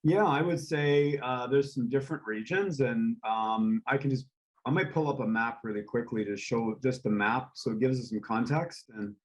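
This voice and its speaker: A man, a real estate developer, speaking as a guest in a casual interview, relaxed and rambling with frequent ums and uhs. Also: medium pitch, moderate pace, poor audio. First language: English.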